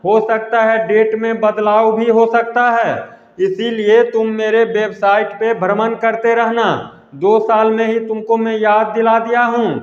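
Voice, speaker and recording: A man's voice: sits high, level tone, a little slow. A man gives an informal exam update to students, repeating himself emphatically and addressing them as friends. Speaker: exam news presenter. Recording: echoey hall-like reverb, dull lo-fi sound.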